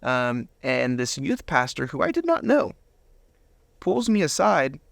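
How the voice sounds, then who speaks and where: A man's voice: mid-range voice, wildly swooping pitch, measured pace, creaky, fairly bright tone, no echo. A man giving a personal testimony as an interview guest, retelling moments with quoted dialogue acted out.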